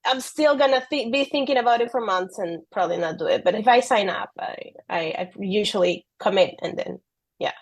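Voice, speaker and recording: A woman in her thirties from Peru, speaking English as an as a podcast guest, soft-spoken and hesitant with frequent ums and light laughs. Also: mid-range voice, a little fast, no echo. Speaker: guest athlete. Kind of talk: casual interview.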